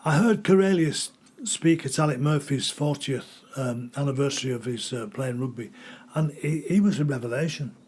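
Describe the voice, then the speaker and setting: A man's voice: medium pitch, moderate pace, few pauses, darkish tone, husky. An older man born in Scotland, telling rambling stories as an interview guest and often repeating words while he hunts for names. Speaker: guest, former rugby player. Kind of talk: conversational interview storytelling.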